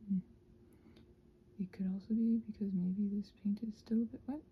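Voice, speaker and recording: A soft-spoken woman chatting casually in an ASMR craft ramble, often slipping into whispers as she hesitantly thinks aloud. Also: medium pitch, fairly even intonation, normal speed, narrow, tinny audio, a little hiss or hum. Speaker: host of a craft ramble channel. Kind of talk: casual rambling chat while painting, ASMR.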